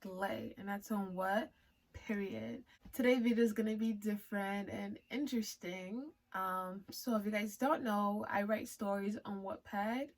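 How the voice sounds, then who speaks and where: A woman with a Liberian accent, whose first language is not English, chats with her viewers and reads her own story aloud. She is giggly and full of playful slang, and her pitch sits in an ordinary middle range. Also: steady tone, a little slow. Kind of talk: casual chat and reading aloud.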